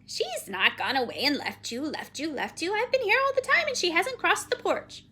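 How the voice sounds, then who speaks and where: A woman voicing a bossy, scornful female pet bird in a children's story, brisk and exasperated, repeating the ends of phrases. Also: very high voice, big pitch swings, sharp tone, pitched above the performer's own voice, full character voice. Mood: brisk, impatient.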